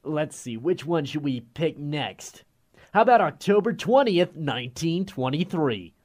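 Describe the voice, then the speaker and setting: A man's voice: on the high side, very animated, measured pace, darkish tone, a little hiss or hum. A male actor playing a casual, curious radio station crew member in an audio drama. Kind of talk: conversational audio drama acting.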